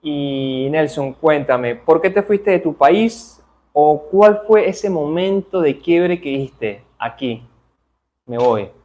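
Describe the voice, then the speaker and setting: A man's voice: fairly high pitch, animated, slow pace, dark tone. A man hosting an interview, attentive and conversational, prompting with short replies. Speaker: interview host.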